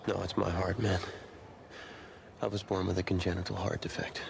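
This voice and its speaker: A man's voice: low-pitched, flat delivery, normal speed, gravelly, noisy background. A man playing a proud, stubborn young star quarterback in a dramatic video game cutscene, defensive and intense. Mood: subdued. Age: young adult.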